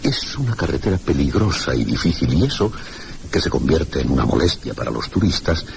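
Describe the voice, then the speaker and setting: An inquisitive, cultured schoolmaster in a radio mystery, extremely deep and gravelly, with swooping intonation. His Castilian speech is formal, hesitant and insinuatingly polite. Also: big pitch swings, brisk, exaggerated voice. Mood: inquisitive, courteous. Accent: Castilian Spanish. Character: inquisitive, apologetic, solitary village schoolteacher, cultured man. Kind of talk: Spanish radio mystery drama acting. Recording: noisy, gritty old radio recording.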